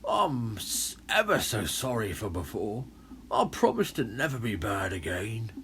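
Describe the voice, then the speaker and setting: A man's voice: on the low side, sing-song, unhurried, a bit bright, exaggerated voice. A man puts on a big male bull shark from a children's storybook. He is a former bully turned contrite, speaking in a theatrical, imploring way. There is faint noise in the recording. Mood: pained, begging. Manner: begging, theatrical delivery.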